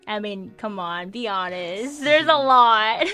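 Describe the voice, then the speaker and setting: A woman's voice: medium pitch, animated, slow, bright, forceful tone, faint music behind. A young woman hosting a casual video podcast, chatty and full of questions, using "like" a lot. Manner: chatty, asks questions with filler "like". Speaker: host.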